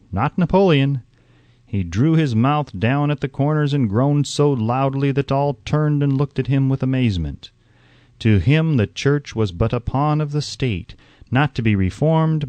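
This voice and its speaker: Guy reading an audiobook, deliberate storyteller delivery, pitch moves around a lot, really dry recording. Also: darkish tone, dry recording. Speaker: audiobook narrator. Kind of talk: scripted audiobook narration.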